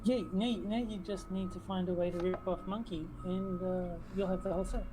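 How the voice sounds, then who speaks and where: A woman co-hosting a casual livestream, making dry, teasing jokes in a relaxed way. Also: fairly low pitch, fairly quick, music in the background, low-quality recording. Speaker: stream co-host.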